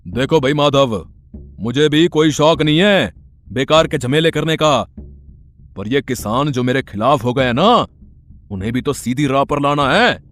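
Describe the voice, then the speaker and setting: A man's voice: medium pitch, animated, measured pace, a bit bright. A man playing a stern, self-assured village man with a firm, blunt manner in a moral story. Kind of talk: Hindi moral story voice acting.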